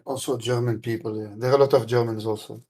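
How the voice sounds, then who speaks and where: Male co-trader, mid-range voice, casual and halting, brief remarks on his trades. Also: mid-range voice, steady tone, darkish tone. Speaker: co-trader on the stream. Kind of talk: casual chat.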